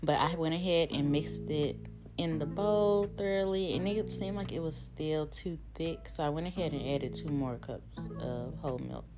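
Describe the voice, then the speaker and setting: A young woman, casually chatting as she cooks and narrating a voiceover in a relaxed, rambling way that often laughs at herself. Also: deep voice, normal speed. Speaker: vlogger. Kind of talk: casual cook-along chat and voiceover. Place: home kitchen, indoors. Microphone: camera on the counter. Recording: voiceover with clear background music.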